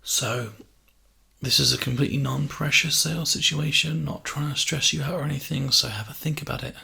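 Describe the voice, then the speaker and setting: A man doing a casual, reassuring ASMR sales role play, speaking softly and chattily into a close binaural microphone. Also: fairly high pitch, fairly even intonation, brisk. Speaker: ASMR performer playing a personal shopper. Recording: close binaural mic, clear background hiss.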